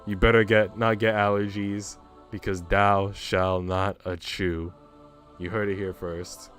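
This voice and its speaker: A man's voice: fairly low pitch, level tone, measured pace, a bit bright. A man streaming a visual novel, reading its text aloud and adding casual asides, with music playing behind him.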